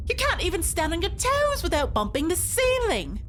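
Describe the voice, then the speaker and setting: Woman acting a high, flirty action heroine. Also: huge pitch swings, normal speed, slight background noise, exaggerated voice. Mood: flirty, flustered. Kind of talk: audio drama acting.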